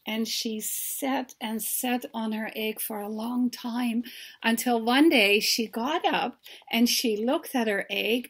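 A woman in her sixties telling a story to children as its author, pausing to put questions to them. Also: a little high, vocal fry, breathy edge. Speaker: children's author reading aloud. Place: book-lined home study.

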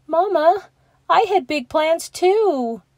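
A woman voicing an excited little boy llama from a storybook, high and eager. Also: slow, dry recording, clean studio sound, exaggerated voice.

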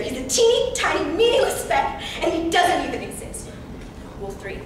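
A young woman acts out a wounded but defiant Congolese teenage girl in a theatrical monologue. The voice is high and speaks straight at the listeners with emphasis. It comes from far off in a very echoey hall, with clear noise around it. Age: young adult. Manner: emphatic, direct address to listeners. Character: defiant, wounded fifteen-year-old Congolese girl, survivor of captivity. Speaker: student actor performing a monologue.

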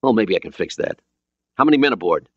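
A male narrator acts out a self-assured American submarine commander in a thriller audiobook. He speaks in brisk, plain military style with an animated pitch, close and dry in a slightly dull recording. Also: no echo. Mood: brisk, confident.

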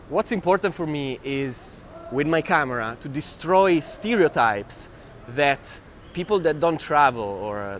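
A man in his twenties with an Italian accent, talking to camera as a travel filmmaker in an animated, exclamatory way, often repeating phrases. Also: fairly high pitch. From Italian family, raised near Milan. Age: 20s. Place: outdoors in city squares and streets. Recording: clear urban street noise.

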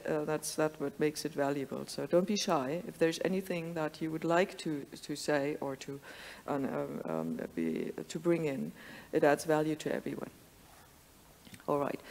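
A woman with a fairly low voice chairs a panel in a large conference hall, her voice carrying a long reverb. She moderates in a conversational, encouraging way, with the odd filler. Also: a little mellow. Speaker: session chair.

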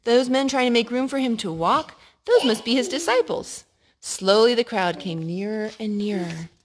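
A woman reading a picture book aloud to a child in an expressive way, pausing to ask questions. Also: fairly high pitch. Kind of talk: storytime read-aloud. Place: living room indoors at a couch.

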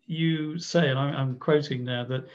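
A man's voice: on the high side, fairly even intonation, measured pace, highs cut off. A man hosting a podcast interview over a video call, asking questions and giving brief affirmations. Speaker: podcast host.

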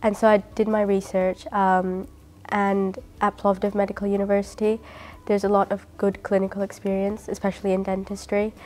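A woman's voice: medium pitch, monotone, normal speed. A woman from the UK, a dentistry student answering interview questions in a matter-of-fact way. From United Kingdom. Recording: soft music under the voice.

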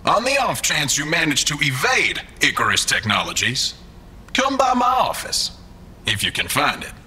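Smug, swooping, callous boss. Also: male voice, a little high, huge pitch swings, normal speed, bright, forceful tone, breathy edge, exaggerated voice. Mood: smug, mocking. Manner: theatrical, condescending, sardonic. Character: smug, callous male villainous boss, mockingly polite. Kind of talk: science-fiction audio drama acting.

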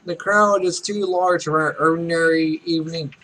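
A man's voice: on the high side, fairly even intonation, moderate pace, fairly bright tone, poor audio. A man in his twenties reading game text aloud with casual, joking, self-mocking commentary. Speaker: Let's Play streamer. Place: small room at home.

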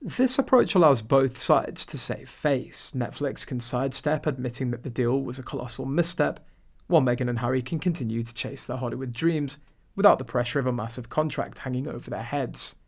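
A man at a middle pitch narrates a scripted gossip voice-over. His delivery is snide and mocking throughout, leaning into sarcastic asides. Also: animated, brisk.

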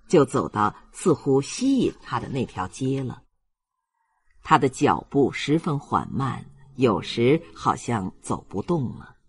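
A man narrating a literary novel as an expressive audiobook reader. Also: mid-range voice, breathy edge.

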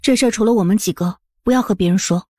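A woman playing a confident, curious young mistress of a household in an audiobook drama, composed and gently teasing. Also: mid-range voice, fast, slightly rough, no echo, studio quality, lightly acted. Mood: composed, intrigued. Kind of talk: Chinese audiobook drama acting.

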